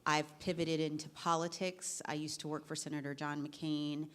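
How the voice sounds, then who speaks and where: A woman speaking on a career panel, chatty and quick, often restarting her sentences. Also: low-pitched, little pitch movement, clear, resonant tone. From Virginia, US. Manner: chatty, self-correcting, quick bursts. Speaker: panelist, managing director. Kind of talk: panel discussion.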